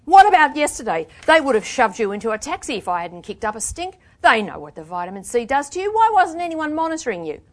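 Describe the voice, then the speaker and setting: A woman's voice: medium pitch, animated, brisk, hardly any pauses, a bit bright, voice pushed up, close to an everyday voice. A livid woman carer performed by a woman reader in a storybook reading, her voice pushed well up from a normal register. She is blunt and accusing, confrontational and at the end of her patience. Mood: furious, accusing. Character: furious, exhausted woman carer, scathing and confrontational. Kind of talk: dramatic book reading.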